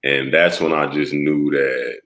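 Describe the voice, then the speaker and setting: Deep-voiced man in his thirties. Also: unhurried. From South Carolina. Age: 30s. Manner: relaxed, talks openly, breaks into laughs. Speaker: guest. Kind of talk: casual interview.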